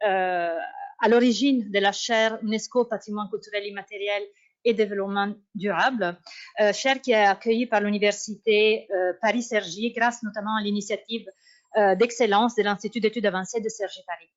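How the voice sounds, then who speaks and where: A woman with as her language, giving a formal academic conference talk and often pausing to search for words. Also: medium pitch, steady tone. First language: Italian. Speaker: university researcher. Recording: dull lo-fi sound.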